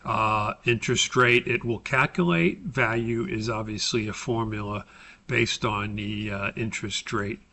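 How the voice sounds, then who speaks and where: An American man giving an informal, step-by-step software tutorial as a course instructor, pausing mid-sentence as he explains. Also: on the low side, steady tone, gravelly. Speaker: course instructor recording a tutorial for his class.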